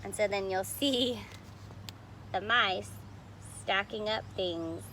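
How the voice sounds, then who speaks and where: A woman's voice: mid-range voice, slow pace, bright, forceful tone, a little hiss or hum. A woman reading a picture book aloud expressively, stopping to explain the illustrations simply, as if to young children. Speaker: storybook reader.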